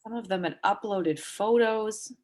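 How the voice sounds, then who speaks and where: Actress as brittle, acerbic woman for stage dialogue; mid-range voice, blunt and sweary with halting phrasing. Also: mid-range voice, close to an everyday voice. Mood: angry, bitter. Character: sharp, foul-mouthed woman, bitterly witty, brittle and vulnerable. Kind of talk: dramatic reading of a stage play dialogue.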